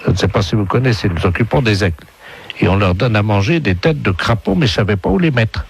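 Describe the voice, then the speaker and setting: A man's voice: fairly low pitch, expressive, quick pace, breathy edge. A man playing an earnest, polite insolvent bank customer who rambles gratefully and insistently on a prank phone call. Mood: earnest, absurd.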